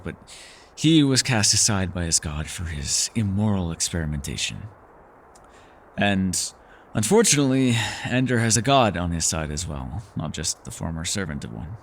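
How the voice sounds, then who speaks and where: A man plays a centuries-old elf recluse in an intimate fantasy audio roleplay. His voice is low and moves widely in pitch, with measured, courteous phrasing, small hesitations and a soft wry edge. There is noticeable ambient noise behind him. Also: full character voice. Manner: measured, formal phrasing, hesitant and softly teasing. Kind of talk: fantasy ASMR roleplay acting, intimate one-sided dialogue.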